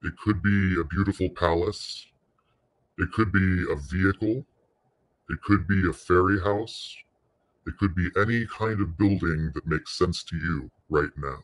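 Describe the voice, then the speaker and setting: A man leading a guided meditation in a soothing, unhurried way, pausing between short phrases. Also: sits low.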